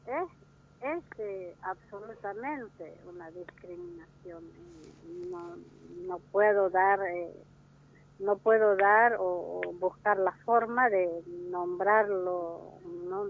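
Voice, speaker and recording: A woman whose first language is Wichí, from northern Argentina, answering interview questions slowly, with many restarts and fillers. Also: medium pitch, animated, a little hiss or hum. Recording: tinny phone line.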